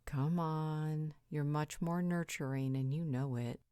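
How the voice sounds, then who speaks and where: A woman's voice: deep voice, fairly even intonation, slow, dark tone, clean tone, dry recording, studio quality. A woman playing a perceptive, frank confidante in an audio roleplay, reasoning in a direct, insistent way. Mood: persuasive.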